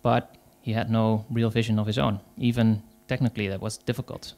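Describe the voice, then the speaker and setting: Dutch-accented male conference speaker, rough-edged voice, halting delivery. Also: fairly low pitch, level tone, darkish tone. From Netherlands. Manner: hesitant, many fillers, pauses. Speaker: conference speaker, agile coach. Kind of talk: conference talk.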